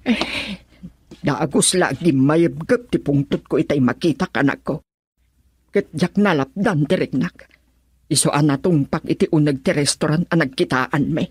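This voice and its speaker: A woman's voice: low voice, moderate pace, a little mellow, husky, no echo. A woman with a Filipino accent playing a stern, aggrieved middle-aged mother in a radio drama, scolding and emphatic in her delivery. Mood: indignant recounting. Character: stern, aggrieved mother confronting and protecting her pregnant daughter. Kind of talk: Ilocano radio drama acting.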